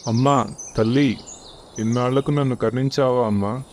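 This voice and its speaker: A man's voice: mid-range voice, fairly even intonation, normal speed, slight background noise, natural voice. A man playing a poor, devout farmer who longs for riches in a children's cartoon story, speaking in an earnest, pleading manner.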